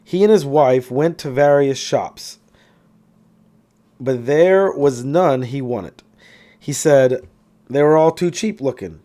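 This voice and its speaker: A man reading a self-help book aloud in an expressive way, occasionally stumbling and going back over a phrase. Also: medium pitch, slow pace, pauses often. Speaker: audiobook reader.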